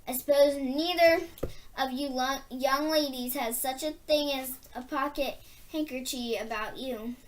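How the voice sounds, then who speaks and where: A humble, bumbling storybook giant, acted by a female reader, huge but very polite. The voice is light and high, with a flustered, deferential manner. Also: a little slow, a little hiss or hum, low-quality recording. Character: Giant Rubblebuffin, huge, humble, bumbling, good-natured male giant.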